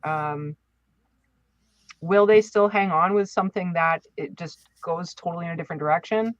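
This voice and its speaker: A woman chatting casually as a podcast co-host, deliberate and emphatic, repeating words for stress. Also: lowish voice, dry recording, lo-fi sound.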